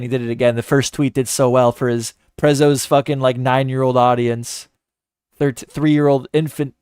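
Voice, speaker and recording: A man's voice: medium pitch, a little mellow, clean tone, no echo, clean studio sound. A man giving mocking, profane commentary in a sarcastic, scornful way. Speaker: commentary host.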